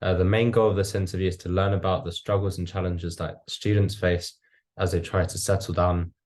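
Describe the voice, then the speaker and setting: A man's voice: sits low, flat delivery, brisk, darkish tone. A young man working through a semi-scripted interview as a student interviewer. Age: young adult.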